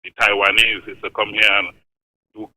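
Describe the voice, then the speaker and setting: A man's voice: fairly low pitch, flat delivery, normal speed, pushed and bright. An older man from Tobago with a Trinidad and Tobago accent, calling in to a morning show and talking earnestly, repeating his points. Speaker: caller. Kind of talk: phone-in call. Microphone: phone. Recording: thin phone line.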